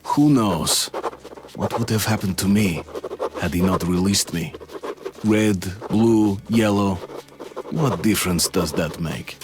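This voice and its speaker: A man's voice: sits low, slow pace, noisy background. A man playing a brooding, guilt-ridden soldier who narrates a video game in a reflective way. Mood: troubled, reflective. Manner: somber, reflective. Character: brooding young male soldier narrating his guilty mission. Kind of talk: scripted first-person game narration. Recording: music under the voice.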